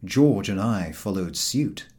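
A man reading a comic story aloud as scripted audiobook narration, in an expressive storytelling style. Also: on the low side, slow pace. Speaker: audiobook reader.

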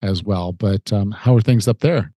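A man's voice: lowish voice, normal speed, dark tone, no echo, clean studio sound. A middle-aged man with a slightly nasal, stuffed-up voice hosting a conversational podcast. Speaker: podcast host and fitness coach.

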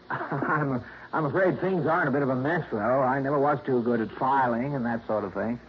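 An actor playing a smooth, mock-polite scheming man in a 1950s radio drama. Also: mid-range voice, moderate pace, slight background noise, natural voice. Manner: suave, mock-polite, condescending. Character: smooth scheming male secretary, slippery. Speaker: suspect. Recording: muffled old radio broadcast.

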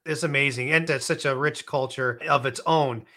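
A man interviewing a guest in a casual conversation, encouraging and quick to laugh along. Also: mid-range voice, fairly even intonation, pushed and bright.

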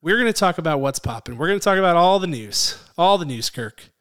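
A man's voice: a little high, animated, a little fast, fairly bright tone. A man co-hosting a movie podcast, chatting casually and teasing his co-host. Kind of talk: casual chat.

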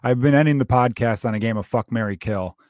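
A man's voice: medium pitch, fast, darkish tone, no room sound, clean studio sound. A man hosting a podcast interview, asking questions in a rambling, joking, self-deprecating way. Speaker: podcast host. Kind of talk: casual interview chat.

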